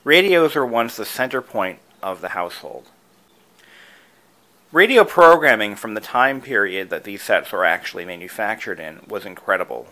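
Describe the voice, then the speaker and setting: Lower-voiced man giving a casual tutorial. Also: sing-song, normal speed, bright, forceful tone, poor audio. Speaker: video creator and host, antique radio hobbyist. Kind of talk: casual how-to tutorial narration.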